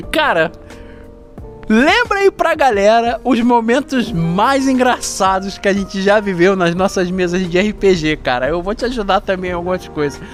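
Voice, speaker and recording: A man's voice: high-pitched, lively intonation, a little slow, a bit bright, faint music behind. A man with a Brazilian Portuguese accent hosting a casual chat with a friend in a building's stairwell, speaking upbeat and straight to his viewers. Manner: upbeat, addresses viewers directly. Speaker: channel host.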